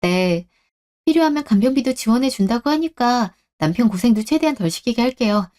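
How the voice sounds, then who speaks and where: A patient young wife in a radio drama, played by a woman. Her voice is fairly high, and she speaks gently, with an apologetic, conciliatory manner. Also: expressive, brisk, lightly acted. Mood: polite, reassuring. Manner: soft-spoken, conciliatory, polite. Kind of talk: Korean radio drama acting.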